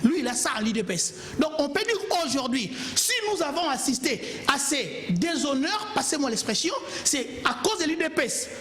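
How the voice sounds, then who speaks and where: Impassioned debater with a high, swooping voice. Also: male voice, very animated, moderate pace, hardly any pauses, fairly bright tone, echoey room, slight background noise. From Kongo Central, DR Congo. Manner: impassioned, emphatic, pleading. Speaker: TV debate panelist. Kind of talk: heated political TV debate. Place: TV studio.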